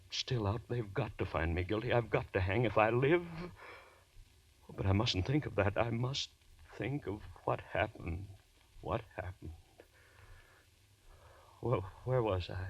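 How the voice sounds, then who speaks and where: Deep-voiced haunted man in old radio horror. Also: lo-fi sound. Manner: intense, halting, melodramatic, from hushed dread to shouting. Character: haunted, guilt-ridden man unravelling into terror. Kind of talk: 1940s radio horror acting, first-person narration. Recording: vintage 1940s radio recording.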